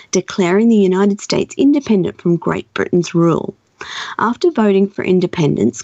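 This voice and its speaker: A woman radio host with a croaky voice, chatting and then reading out a history piece. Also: medium pitch, a little mellow, no room sound, dull audio. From Australia. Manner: chatty, reads facts aloud steadily. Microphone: studio microphone.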